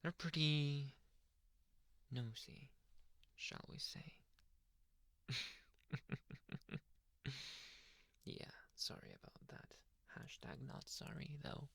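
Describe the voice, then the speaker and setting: A man voicing a devoted, possessive young alpha werewolf boyfriend in an intimate anime ASMR roleplay, breathy and often dropping to a whisper. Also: a little high, no echo, exaggerated voice. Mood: playful, awkward, soft. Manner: slow, sighing, soft murmured endearments. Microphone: close microphone, dry.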